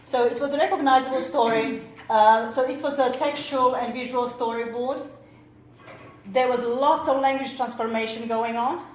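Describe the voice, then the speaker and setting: A woman's voice: fairly high pitch, steady tone, moderate pace. Hesitant woman speaker in an echoey room. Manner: hesitant, many fillers and restarts. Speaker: workshop speaker, teacher. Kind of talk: conference presentation. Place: lecture room. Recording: echoey lecture room, faint noise.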